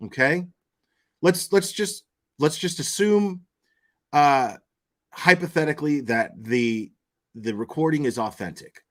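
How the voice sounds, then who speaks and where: Slow, halting male commentator. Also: on the high side. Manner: halting, repeats words for emphasis; emphatic, checks with "okay?" and "right?". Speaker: commentary vlogger. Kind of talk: opinionated off-the-cuff true crime commentary.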